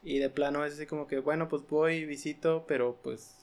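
A man's voice: on the high side, steady tone, brisk. A man with a Mexican accent hosting a casual interview, informally rephrasing his questions as he goes. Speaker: podcast host.

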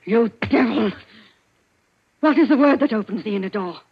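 A fierce, husky secret-society leader. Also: female voice, fairly high pitch, expressive, moderate pace. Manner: demanding, intense. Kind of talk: 1940s radio acting. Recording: dull lo-fi vintage radio recording.

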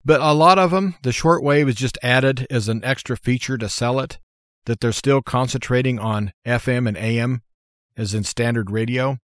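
An American man with a medium-pitched voice talks through practical advice as a show host. His delivery is informal and plainspoken, with a folksy, explanatory feel. The recording is very dry, with no room sound. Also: dry recording. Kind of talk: informal how-to monologue.

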